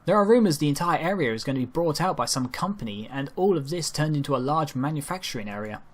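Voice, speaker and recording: A man with a slightly high voice narrates a first-person story. He reads as an amateur, with an unpolished delivery that can trip over the wording. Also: expressive. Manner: halting amateur delivery with stumbles over lines. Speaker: amateur reader narrating a fan fiction story.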